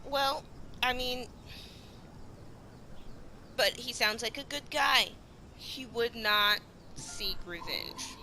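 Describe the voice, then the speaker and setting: A woman's voice: on the high side, takes it slowly, long pauses, pushed and bright, slight background noise. A woman streamer giving casual, informal commentary and talking back to the game as she plays. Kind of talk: casual reactive gameplay commentary.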